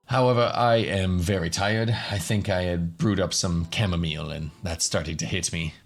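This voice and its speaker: A man's voice: low-pitched, moderate pace, faint music behind. A man voicing a tired, kindly old professor with a polite, hesitant manner in a fantasy radio drama. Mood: tired, encouraging.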